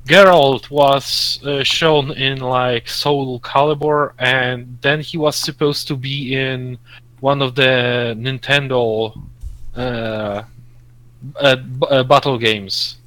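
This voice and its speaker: A man with a Russian accent chatting casually as a gaming podcast co-host, halting and repeating words. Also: medium pitch, fairly even intonation, bright, forceful tone, no echo.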